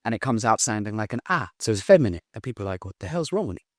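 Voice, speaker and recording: A British man in his thirties talking in a casual interview, blunt and animated, swearing now and then. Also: lowish voice, rapid-fire, dry recording, clean studio sound. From Britain. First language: English. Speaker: guest.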